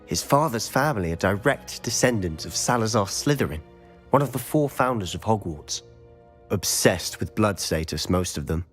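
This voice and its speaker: An actor playing a confident, secretive teenage wizard schoolboy with a British accent, articulate and wry, in a video game cutscene. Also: male voice, on the low side, expressive, normal speed, faint music behind, close to an everyday voice. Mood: confiding. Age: young adult.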